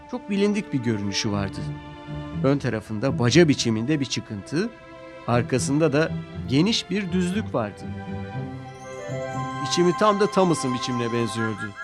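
A man narrates a children's story with a lively, swooping delivery. His pitch is middling, and music plays clearly behind him.

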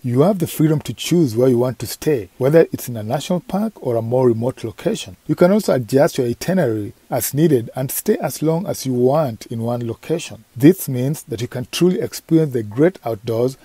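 A man with a husky voice reading a scripted voice-over for a travel vlog. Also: mid-range voice, expressive, brisk, few pauses, warm tone, dry recording. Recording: dry voice-over over music.

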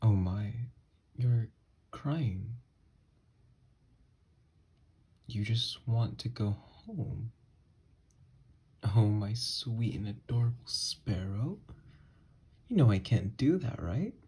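A man voicing an obsessed, unhinged kidnapper scientist in an ASMR horror roleplay, soft and breathy, coaxing gently with quiet menace. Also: fairly low pitch, lively intonation, slow, pauses often, exaggerated voice. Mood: tender, hesitant. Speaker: ASMR audio roleplay voice actor. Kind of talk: ASMR horror roleplay monologue, partly whispered.

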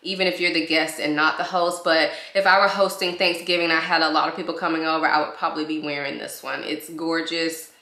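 Lady vlogger a bit hoarse from a cold, low-ish bright voice, chatty, echoey living room. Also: little pitch movement, a little fast. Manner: chatty, enthusiastic, repeats praise. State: recovering from a cold, slightly hoarse. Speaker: beauty vlogger. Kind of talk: casual review to camera. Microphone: camera in front, waist-up framing.

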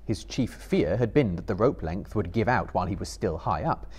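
A man in his thirties giving a live dramatic reading of literary narration in a measured, theatrical manner. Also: fairly low pitch, animated, a little mellow, husky. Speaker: storyteller, reader. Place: indoor small stage before live audience.

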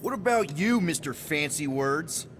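A man's voice: on the high side, animated, measured pace, fairly bright tone, slight background noise. An actor playing a casual, joking male space captain.

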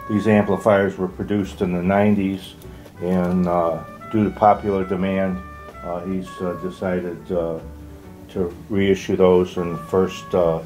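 A man in his sixties explaining products off the cuff as an exhibitor, halting with frequent pauses. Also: sits low, darkish tone, rough recording. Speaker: loudspeaker exhibitor. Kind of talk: unscripted product walk-through in an interview. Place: carpeted hotel room at an audio show.

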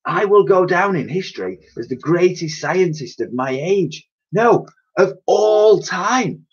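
A man playing a pompous old scientist with a husky voice. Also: high voice, sing-song, takes it slowly, muffled recording, pitched above the performer's own voice, exaggerated voice. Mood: grandiose. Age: older. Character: pompous, self-pitying old male scientist.

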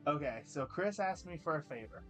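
A man's voice: a little high, expressive, a little fast, fairly bright tone, faint music behind, voice pushed up. A man putting on a brash, teasing college buddy. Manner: playful, ribbing, brash.

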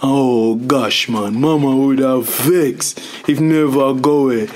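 A man's voice: mid-range voice, steady tone, slow, darkish tone, slight background noise, close to an everyday voice. An actor playing a flustered, grumbling Jamaican family father in a radio comedy drama, stammering as he complains. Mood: reluctant.

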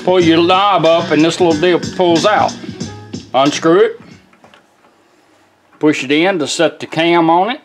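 Guy casually showing off his work, folksy, bit higher pitched, music playing behind him. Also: unhurried, pauses often. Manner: folksy, plainspoken. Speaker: car radio restorer demonstrating his build. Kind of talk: casual product demonstration. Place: indoor workshop bench. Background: radio playing music and talk.

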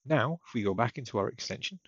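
A man narrating a step-by-step software tutorial in a methodical, explanatory way. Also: medium pitch, expressive, quick pace, husky, no echo, dull audio. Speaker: technical support tutorial presenter.

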